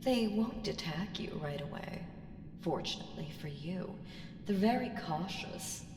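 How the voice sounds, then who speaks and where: A woman's voice: fairly low pitch, animated, unhurried. A woman voicing an ancient evil entity in an ASMR roleplay, seductive, sly and taunting, with ominous teasing and whispered phrases. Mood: coaxing, ominous. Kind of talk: ASMR roleplay audio drama. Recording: reverberant hall effect, faint noise.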